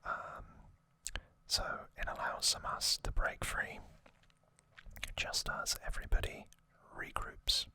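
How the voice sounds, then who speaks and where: An ASMR host talks entirely in a breathy whisper. The delivery is very slow and unscripted, rambling gently through trivia and opinion. The whispered sound is very bright and sharp at the edges.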